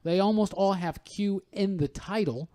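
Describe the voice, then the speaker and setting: A man's voice: sits high, unhurried, warm tone. A man co-hosting a podcast in casual chat, enthusiastic, slangy and prone to swearing. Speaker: podcast co-host.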